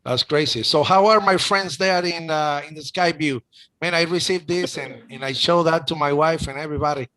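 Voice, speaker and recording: A man's voice: a little high, normal speed, pushed and bright. A middle-aged man with a Cuban accent hosting a casual chat, talking emotionally with many restarts. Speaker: host.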